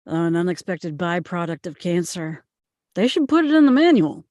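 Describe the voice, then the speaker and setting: Woman reading a lively casual young guy. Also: lowish voice, moderate pace, no echo, studio quality. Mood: frank, casual. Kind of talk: table read acting.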